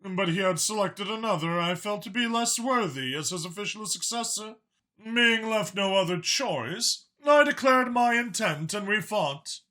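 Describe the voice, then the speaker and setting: Audiobook dragon spymaster, male actor: mild-mannered yet ruthless, formal diction, forceful edge, very animated pitch. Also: a little slow, exaggerated voice. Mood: nonchalant. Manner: articulate, formal, matter-of-fact about killing. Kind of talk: audiobook character dialogue.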